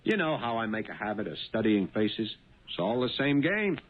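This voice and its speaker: Uneasy cab driver, tinny old radio. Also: male voice, on the low side, very animated, normal speed, a little hiss or hum. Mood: eager. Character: uneasy, honest working-class male cab driver, wary then eager. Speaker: radio drama actor. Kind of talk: 1970s radio drama acting. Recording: tinny, band-limited 1970s radio broadcast.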